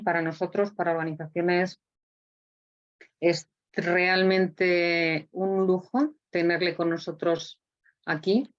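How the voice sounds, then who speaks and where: Woman hosting online talk, kinda low voice, deliberate stop and start phrasing, bit muffled sound. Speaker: host introducing a guest speaker. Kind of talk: spoken introduction at an online talk.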